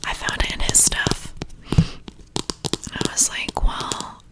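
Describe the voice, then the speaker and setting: A woman speaking in an unvoiced, breathy whisper in an ASMR style, gently unhurried and deliberate. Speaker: ASMR creator and poetry reader.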